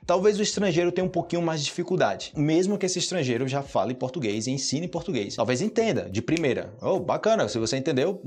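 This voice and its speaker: A man's voice: fairly high pitch, lively intonation, quick pace, few pauses, darkish tone, slightly rough. A Brazilian man hosting a podcast that teaches Portuguese, talking casually and checking in with his listeners often. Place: indoors, hot room.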